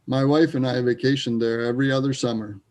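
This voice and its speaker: A man playing a wry, troubled, grieving Canadian sports fan in a readers theater piece, with a gravelly voice. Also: medium pitch, steady tone, fast, darkish tone, natural voice. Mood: wry, teasing.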